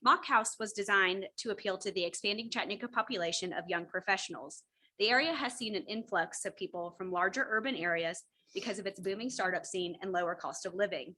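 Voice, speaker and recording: A young woman reading a rehearsed student presentation in a clear, steady way. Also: mid-range voice, a little fast. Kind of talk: scripted presentation.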